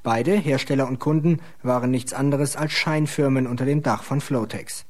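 Mid-pitched man narrating a documentary. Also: moderate pace, a little mellow. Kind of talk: scripted radio feature narration.

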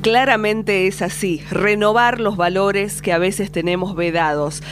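Argentine lady radio host talking reflectively about folk music, mid pitch, bit of music under her. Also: fairly even intonation, unhurried. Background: faint music.

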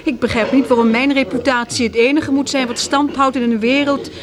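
A woman's voice: high-pitched, fairly even intonation, a little fast, crowd noise, lightly acted. An actress playing a spirited, wry and candid female survivor in a Dutch radio drama.

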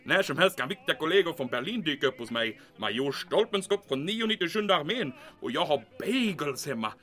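An actor playing a neurotic, secretive official in an audio drama, muttering and exclaiming to himself in a paranoid monologue. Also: male voice, fairly high pitch, expressive, fairly bright tone. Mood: anxious scheming.

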